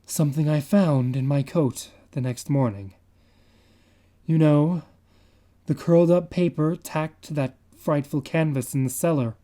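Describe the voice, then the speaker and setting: Male reader for a horror story, slow and confiding, mellow dark tone. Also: on the high side. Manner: measured, confiding, dramatic. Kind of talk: dramatic reading of a horror story.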